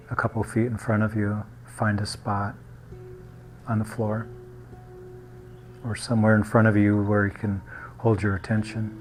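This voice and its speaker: A man guiding a meditation in a gentle, unhurried manner, leaving long pauses between short phrases. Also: fairly low pitch. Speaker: meditation guide. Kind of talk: guided meditation.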